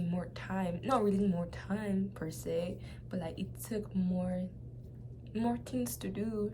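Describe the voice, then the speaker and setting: A young woman with a Nigerian accent shares a personal testimony in a casual, halting way, often repeating phrases for emphasis. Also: medium pitch, noisy background. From Nigeria (Lagos). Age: young adult. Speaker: vlogger.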